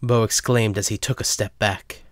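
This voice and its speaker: A man's voice: lowish voice, steady tone, moderate pace, dry recording, studio quality. A man narrating a scripted dramatic story as the narrator.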